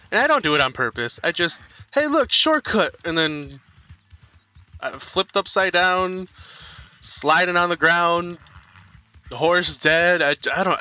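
Bright-voiced rambling young gamer guy. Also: a little high. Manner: rambling, apologetic, self-mocking storyteller. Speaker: gaming streamer. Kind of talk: casual let's play commentary.

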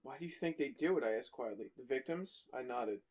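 A man's voice: mid-range voice, brisk, slightly rough. A young man co-hosting a podcast, chatting and reading a story aloud, swearing freely. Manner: swears freely, loud bursts of excitement. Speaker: podcast co-host. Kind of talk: casual banter and reading aloud.

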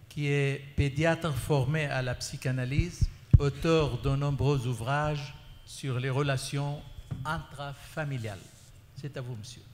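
A man moderating a panel, thanking speakers and introducing the next one on a handheld mic, a little halting. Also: on the high side. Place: large conference hall. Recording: hall reverb with faint room noise.

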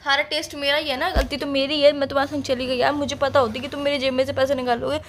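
Indignant young girlfriend played by a woman, high voice, whiny scolding for a couple's phone drama. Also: little pitch movement, fast talker, a little hiss or hum. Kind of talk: scripted comic phone-call drama between a couple.

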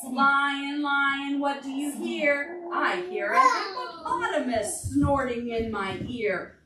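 High, sing-song woman reading to toddlers. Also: lively intonation, slow. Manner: sing-song repetition, simple prompting questions. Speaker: children's librarian leading toddler storytime. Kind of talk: read-aloud to toddlers with questions. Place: large carpeted library meeting room. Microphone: far camera across the room. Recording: roomy, distant mic, noisy room.

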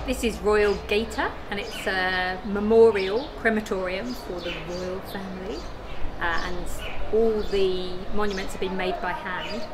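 A woman's voice: medium pitch, fairly even intonation, a little slow. A middle-aged woman talking casually to a handheld camera outdoors, cheerfully describing historic sights. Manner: cheerful, playful narration of sights. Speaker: travel vlogger. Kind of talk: casual travel vlog chat. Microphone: phone or camera at arm's length. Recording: music under the voice. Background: music.

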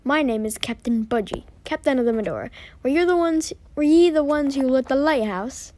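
Woman as budgie sea captain, high voice, pirate phrasing, hearty, amateur cartoon. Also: animated, normal speed, a little mellow. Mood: proud, inquiring. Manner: archaic pirate wording.

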